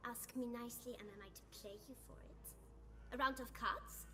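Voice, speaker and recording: Sly, high-voiced female street dealer. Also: steady tone, moderate pace, bright, forceful tone. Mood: sly haggling. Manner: condescending, sugary endearments. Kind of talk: fantasy video game acting. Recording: music behind the voice.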